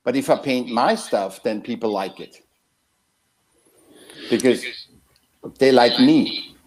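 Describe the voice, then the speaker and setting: A man, a guest artist heard over a video call, wanders through his thoughts. His sentences stop and restart, and he circles back to find a word. His voice sits at an ordinary middle pitch for a man. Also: darkish tone, poor audio. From Austria. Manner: rambling, halting, with many restarts. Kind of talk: casual interview talk.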